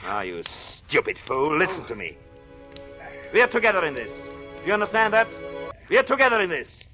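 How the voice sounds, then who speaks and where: An actor playing a scheming married doctor in a 1940s radio thriller, intense and deliberate in his dramatic delivery. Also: high-pitched, sing-song, over music. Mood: urgent, forceful. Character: scheming married doctor coldly plotting his wife's murder. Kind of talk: 1940s radio thriller acting with first-person narration.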